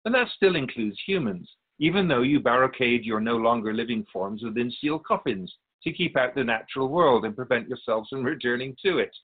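A man playing a wise otherworldly keeper of souls in a radio drama, speaking in a measured, philosophical, instructive way. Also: medium pitch, lively intonation, phone-quality sound, lightly acted. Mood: calm, instructive. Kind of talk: radio drama dialogue in interview form.

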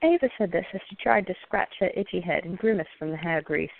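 A woman with a creaky voice reading scripted story narration for a radio play. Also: on the low side, fast talker, no echo. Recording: narrow phone-like, dry sound.

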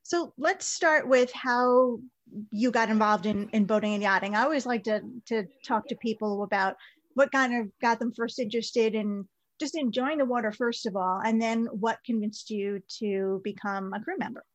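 A woman hosting a podcast interview, reading a measured scripted introduction and then asking questions. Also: fairly high pitch, dull audio. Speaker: podcast host and editor.

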